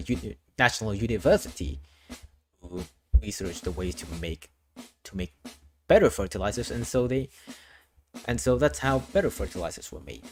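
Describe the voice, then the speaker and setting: A man's voice: mid-range voice, darkish tone, slight background noise. A man from Thailand, a streamer explaining his worldbuilding lore in a casual, explanatory way, often restarting phrases.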